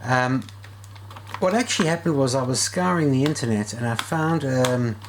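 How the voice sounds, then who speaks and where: A man narrates a casual repair video. His voice is mid-pitched, and he thinks aloud informally as he works. Some background noise sits behind the speech. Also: normal speed. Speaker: video host and radio repair hobbyist. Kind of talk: casual hands-on repair vlog.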